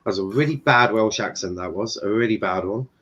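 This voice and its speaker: Young bloke chatting over a football stream, lowish voice, lots of ums, bit muffled lo-fi audio. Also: male voice, a little fast, fairly bright tone. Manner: rambling, many fillers and restarts, swears casually. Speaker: stream host and commentator. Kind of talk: casual alternative football commentary.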